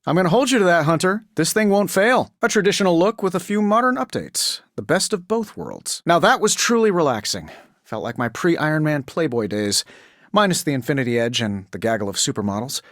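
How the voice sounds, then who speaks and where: An actor playing a cocky, quippy superhero inventor in a video game, glib and sarcastic. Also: male voice, fairly high pitch, big pitch swings, a little fast, a bit bright, no echo, studio quality. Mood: wry, confident. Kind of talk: video game voice acting, scripted quips.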